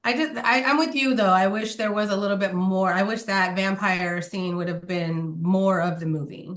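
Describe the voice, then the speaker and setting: Southern lady on a movie podcast, bubbly and giggly, average pitch, lots of um and like. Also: moderate pace. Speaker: podcast co-host. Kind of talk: casual movie review chat.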